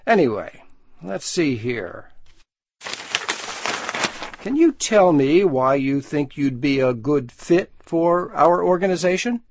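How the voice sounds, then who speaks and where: Slow, husky magazine manager. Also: male voice, a little high, exaggerated voice. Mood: friendly. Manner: relaxed and friendly, asks questions. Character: male magazine manager, friendly interviewer. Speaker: job interviewer in a dialogue. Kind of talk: scripted dialogue for learners. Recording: dry studio sound.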